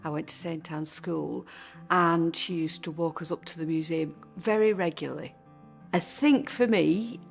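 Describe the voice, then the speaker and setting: Low, gravelly woman in her sixties. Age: 60s. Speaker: interviewee. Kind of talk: reminiscing about childhood.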